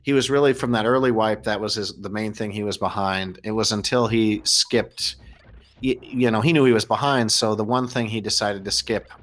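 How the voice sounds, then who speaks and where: A man's voice: fairly low pitch, steady tone, normal speed, a bit bright. An American man commentating and interviewing on a live gaming race in a casual, chatty, jokey way. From United States. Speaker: host and commentator.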